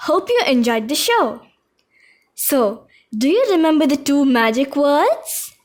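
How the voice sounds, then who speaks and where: A woman's voice: high-pitched, very animated, measured pace. A woman telling a scripted puppet story to young children in simple, instructive phrases. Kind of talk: slow scripted children's narration and singing.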